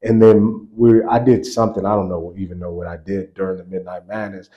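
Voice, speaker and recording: A man in his forties, a basketball coach, telling stories in a relaxed interview, laughing often and circling back mid-sentence. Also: deep voice, a little fast, muffled recording. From grew up on a US army base in Germany. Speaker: college basketball assistant coach, guest. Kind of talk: casual interview storytelling.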